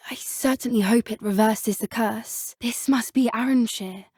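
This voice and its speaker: A woman voicing an earnest, gentle schoolgirl witch in a video game, with a British accent and polite, well-spoken delivery. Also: a little high, a little slow, slightly rough, no room sound, studio quality. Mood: earnest, curious. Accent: British English. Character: earnest, gentle schoolgirl witch who loves magical beasts. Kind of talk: scripted video game voice acting.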